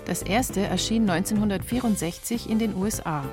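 A woman's voice: medium pitch, fairly quick, music in the background. A woman reading scripted documentary narration as a feature reporter.

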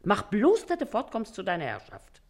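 Sharp-tongued washerwoman mother, swinging pitch. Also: wildly swooping pitch. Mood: loud, scolding. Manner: bossy, scolding, quick-witted. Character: shrewd, hard-working, sharp-tongued washerwoman mother and thief. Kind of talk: theatrical dialect comedy acting.

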